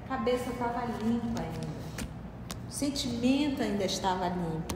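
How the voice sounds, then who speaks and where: A woman's voice: a little high, darkish tone, slight background noise. A woman leading a study group who asks the group questions and then explains the answers. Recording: echoey room with long reverb.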